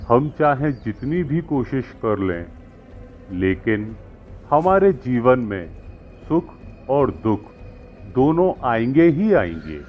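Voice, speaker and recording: A wise holy man, played by a man, counsels in a slow, deliberate way with clear pauses. His mid-pitched voice rises and falls expressively as he teaches, in moral-tale style, over music on a slightly dull recording. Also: very animated. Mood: philosophical, instructive. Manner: measured, didactic, deliberate pauses. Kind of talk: acted moral-story dialogue. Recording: muffled lo-fi with music behind.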